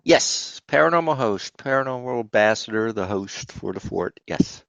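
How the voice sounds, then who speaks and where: A man's voice: on the low side, expressive, moderate pace, creaky, fairly bright tone, no echo, highs cut off. A man with a Philadelphia accent, a host at a historic fort, answering interview questions in a rambling way with many fillers.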